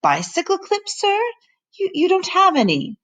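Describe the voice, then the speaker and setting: A woman's voice: extremely high pitch, huge pitch swings, moderate pace, a bit bright, pitched above the performer's own voice, full character voice. A woman voicing an anxious, deferential female housekeeper in a storybook, speaking politely and hesitantly. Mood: puzzled, flustered.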